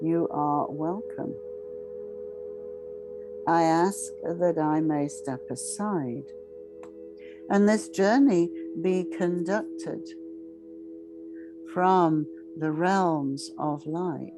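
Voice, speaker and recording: A woman leading a guided meditation in a solemn, ceremonial way, speaking in short, measured phrases with long pauses. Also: sits low, music in the background, rough recording.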